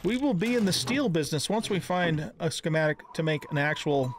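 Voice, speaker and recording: A man's voice: on the high side, expressive, brisk. A middle-aged man giving casual let's-play commentary, thinking aloud in a folksy, exclaiming way. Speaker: gaming channel host. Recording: clear background noise with clicks.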